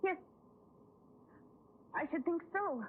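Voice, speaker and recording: A woman's voice: very high-pitched, expressive, slow pace, full character voice. An actress playing a nervous, frightened woman in an old radio thriller, hesitant and anxiously polite. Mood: uneasy. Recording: tinny, noisy old radio broadcast.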